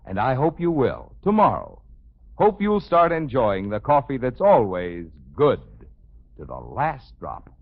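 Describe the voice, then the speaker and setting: A man's voice: medium pitch, huge pitch swings, unhurried, a little hiss or hum. A man delivering a 1950s radio sponsor message as announcer, with emphatic, folksy salesmanship. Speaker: commercial announcer. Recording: dull vintage 1950s radio recording.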